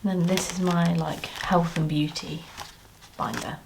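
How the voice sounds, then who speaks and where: A British woman with a croaky voice, chatting casually as she counts cash into budget envelopes and clears her throat now and then. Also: fairly low pitch, fairly even intonation, takes it slowly, noisy background. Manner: counting money aloud, chatty asides. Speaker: budgeting vlogger. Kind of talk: casual cash-stuffing commentary.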